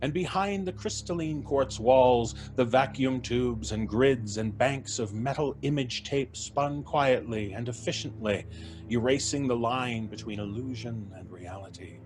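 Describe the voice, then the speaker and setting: A man narrating a classic tale in a measured, vivid way for a live audience show. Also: medium pitch, darkish tone. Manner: measured, vivid, lingering on sensory details. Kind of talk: dramatic live story narration. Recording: live stage recording with faint music.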